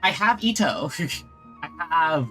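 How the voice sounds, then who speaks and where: Bright, forceful game streamer. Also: medium pitch, lively intonation, slow pace, faint music behind. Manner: informal, reactive, reads game lines aloud. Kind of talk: casual live let's play commentary. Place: game stream, game music behind.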